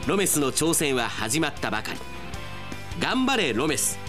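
A male radio announcer narrating a drama and then chatting playfully with listeners, with jokes and bursts of rapid talk. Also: fairly high pitch, big pitch swings, music in the background. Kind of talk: radio drama narration and casual host chat.

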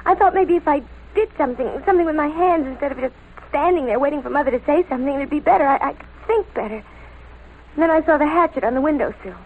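A woman's voice: sits high, fast talker, phone-quality sound, a little hiss or hum. A young American woman playing a nervous, lovestruck teenage girl in a 1940s radio drama, hesitant and earnest as she confides. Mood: nervous, confessing. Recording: old radio broadcast with music behind.

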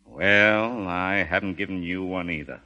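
An actor playing a weary, steady police chief in a 1930s radio drama, speaking in a measured, plain way. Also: male voice, deep voice, highs cut off, exaggerated voice. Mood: sober, regretful. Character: weary, steady police boss confiding in his undercover man. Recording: old 1930s radio recording.

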